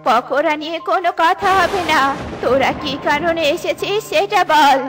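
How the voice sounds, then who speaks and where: A woman's voice: extremely high pitch, normal speed, music in the background. A woman voicing a grumpy, suspicious auntie bird in a children's cartoon, scolding and irritated. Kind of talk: Bengali children's cartoon voice acting.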